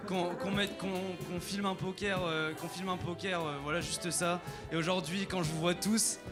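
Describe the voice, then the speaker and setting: A man giving a spontaneous winner's interview, haltingly, often restarting and repeating his phrases. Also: high-pitched, fairly even intonation. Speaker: tournament winner. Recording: loud music behind.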